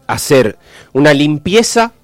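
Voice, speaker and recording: A man's voice: a little high, very animated, measured pace, no echo, soft background music. A man with an Argentine Spanish accent co-hosting a radio show, chatting casually and reading out listener messages.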